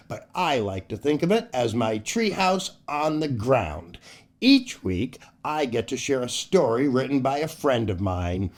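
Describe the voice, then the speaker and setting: Animated, unhurried male children's storyteller. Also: mid-range voice. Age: older. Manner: playful, exaggerated, theatrical delivery. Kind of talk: animated kids' show hosting, joke telling and read-aloud.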